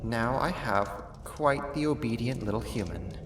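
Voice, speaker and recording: A voice actor playing a sadistic, haughty half-spider monster in an ASMR horror roleplay, talking slowly and condescendingly in a theatrical way. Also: medium pitch, expressive, background noise, natural voice. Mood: smug, possessive.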